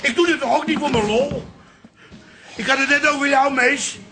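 A man's voice: very high voice, steady tone, moderate pace, bright, forceful tone. An actor playing a melodramatic, blustering old father-in-law in a comic radio drama, repeating his words theatrically. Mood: blustering, straining. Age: older.

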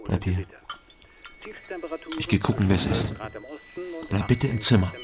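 An actor playing a troubled, gentle loner in a radio crime drama, speaking in an understated, slightly hesitant way. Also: male voice, on the low side, measured pace, a crowd in the background, natural voice. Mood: gentle, reassuring. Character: awkward, troubled loner man, gentle with a child. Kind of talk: German radio crime drama acting and narration.

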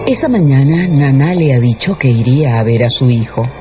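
A male narrator tells a scripted story in a dramatic radio style. His voice is medium in pitch. Music plays clearly underneath, and the recording sounds slightly muffled. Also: animated, a little fast.

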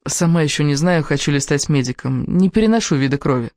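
A woman's voice: sits low, animated, fast, a little mellow, no room sound, studio quality. A woman voicing a seventeen-year-old girl student in an audiobook, candid and a little defiant. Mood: unsure, candid. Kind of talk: audiobook character dialogue.

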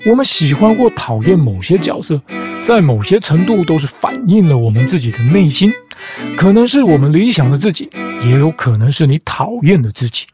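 A man hosting a podcast, calmly narrating a scripted explanation in a measured storytelling manner. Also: on the high side. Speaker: podcast host. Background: background music.

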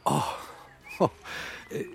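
An actor playing a hesitant, bashful bookseller in a radio crime drama, speaking in an awkward, halting way. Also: male voice, natural voice. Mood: flustered. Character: hesitant, bashful male bookseller, the detective's friend.

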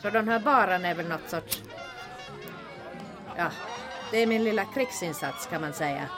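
A woman's voice: lowish voice, expressive, natural voice. An actress playing a shrewd, sardonic female bar owner in a comedic wartime audio drama, dry and teasing with a bossy streak. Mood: welcoming. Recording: music and crowd chatter behind voice. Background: bar crowd and music.

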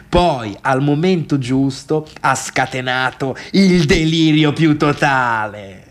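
An actor playing an agitated, mocking misfit in a colloquial, emphatic stage monologue, as if confiding in someone. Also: male voice, medium pitch. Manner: conversational, emphatic, repeats himself, chuckling asides. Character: mocking, agitated man recalling a wild party, self-deluded misfit. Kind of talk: theatrical monologue in colloquial Italian.

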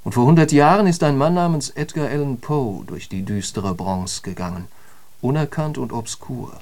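An actor as an eloquent intellectual holds forth in a literary radio play. His middle-pitched male voice is emphatic and declamatory, with the bite of a polemicist. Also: normal speed, a little mellow, close to an everyday voice. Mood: fervent. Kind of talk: literary radio drama monologue.

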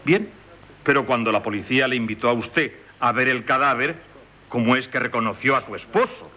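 An actor with a Castilian Spanish accent playing an insistent, formally courteous examining magistrate in 1970s radio theatre. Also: male voice, mid-range voice, brisk, bright, forceful tone, close to an everyday voice. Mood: probing, courteous. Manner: formal, probing, insinuating.

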